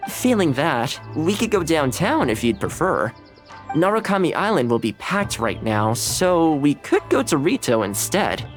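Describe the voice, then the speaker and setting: An actor playing a friendly, easygoing young male retainer in a video game, talking in a relaxed, chatty way. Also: a little high. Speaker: game character.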